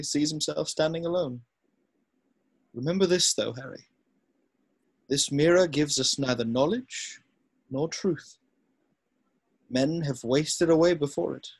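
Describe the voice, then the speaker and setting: A man playing a wise, gentle old wizard headmaster in a scripted read, speaking in measured, formal phrases. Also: a little high, husky, poor audio. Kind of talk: scripted table read acting.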